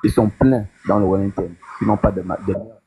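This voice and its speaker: A man's voice: lowish voice, fairly even intonation, a little fast. A man calling in to a live panel, hesitant and full of fillers; French is not his first language. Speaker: caller on a panel. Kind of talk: call-in comment. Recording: faint noise and crackling line.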